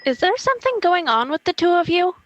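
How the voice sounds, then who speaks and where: A woman's voice: very high-pitched, big pitch swings, brisk, no room sound, lo-fi sound. A young woman playing an inquisitive, hesitant friend in an amateur radio play. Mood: curious.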